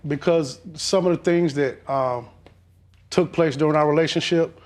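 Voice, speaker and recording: A man testifying on a court show, hesitant and defensive, filling pauses with "um". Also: on the high side, darkish tone.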